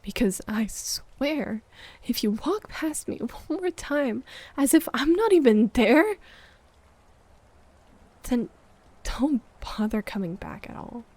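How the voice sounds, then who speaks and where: A woman's voice: high voice, very animated, slow, pauses often, no echo, heavily acted. Woman acting as a hurt, needy girlfriend, close and dry. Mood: seething, hushed. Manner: emotional, swinging between sharp reproach and hushed, breathy murmurs. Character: hurt, needy, accusing live-in girlfriend waiting up late. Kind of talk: intimate audio roleplay monologue, dramatic acting.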